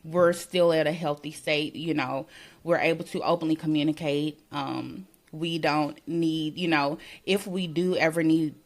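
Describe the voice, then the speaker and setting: A woman with a notably low-pitched voice talks in a relaxed, conversational way. She reflects out loud, filling pauses with "you know" and "um" as she shares her experiences as a working mother. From Mississippi. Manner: reflective, thinks aloud with many "you know"s. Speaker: podcast guest, working mother. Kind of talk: casual conversation.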